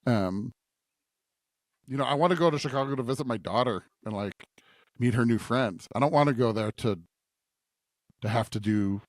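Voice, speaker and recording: A middle-aged man talks over scripture as a podcast co-host, with a medium-pitched voice in a very dry, close recording. He feels his way through his points haltingly and reflectively, often seeking agreement as he goes. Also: dry recording. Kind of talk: conversational scripture discussion.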